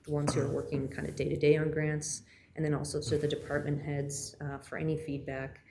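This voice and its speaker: Brief: woman, municipal staffer at the podium, monotone with some vocal fry. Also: low voice, fairly quick, slight background noise. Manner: steady, many fillers, trailing off quietly. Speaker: city grants staff presenting to council. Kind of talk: formal council presentation. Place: city council chamber.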